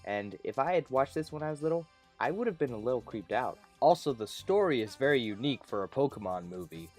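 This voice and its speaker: A man's voice: mid-range voice, expressive, a little fast. Sarcastic guy hosting a countdown review. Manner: sarcastic, self-deprecating, joking. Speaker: host of a video review countdown. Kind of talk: scripted comedic review commentary. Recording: amateur recording with light background music.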